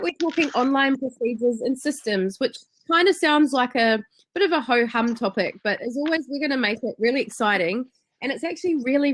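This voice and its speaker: A woman's voice: fairly high pitch, a bit bright, rough recording. A woman with a New Zealand accent hosting a business webinar in an enthusiastic, chatty way. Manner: enthusiastic, chatty, frequent ums. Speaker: webinar host.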